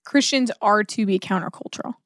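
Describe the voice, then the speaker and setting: A woman's voice: mid-range voice, a little fast, fairly bright tone, no echo, studio quality. A woman co-hosting a podcast, reflecting on religious ideas conversationally, with frequent use of "like". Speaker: podcast co-host. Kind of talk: casual religious discussion.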